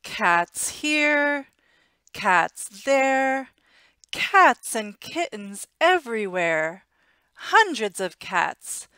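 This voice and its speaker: An American woman in her thirties reading a children's picture book aloud in an expressive, deliberate way, on a headset mic in a small room at home. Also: fairly high pitch, clear, resonant tone, no echo. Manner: expressive, deliberate, simple storytelling for young children. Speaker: storytime reader.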